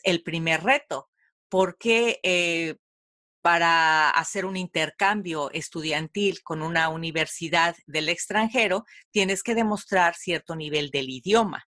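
Low-voiced woman hosting an interview. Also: fairly bright tone. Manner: measured, formal, long winding questions. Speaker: host and interviewer. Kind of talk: radio interview.

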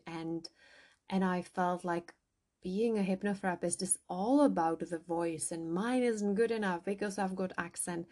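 A woman whose first language is not English, a hypnotherapist and coach, calmly guiding listeners through a journaling exercise. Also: medium pitch. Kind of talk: guided journaling podcast.

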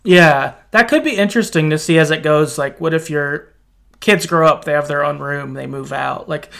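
A man's voice: on the high side, normal speed, creaky, a bit bright. A man who is a guest on a casual podcast, easygoing and agreeable, with lots of ums. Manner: easygoing, agreeable, filler-heavy. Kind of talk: casual chat.

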